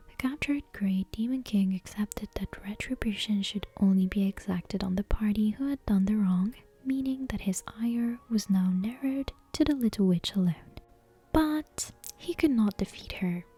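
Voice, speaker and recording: Female ASMR storyteller, very breathy whisper with a soft mellow tone, gentle scripted bedtime narration. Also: medium pitch. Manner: gentle, careful, hushed read-aloud delivery.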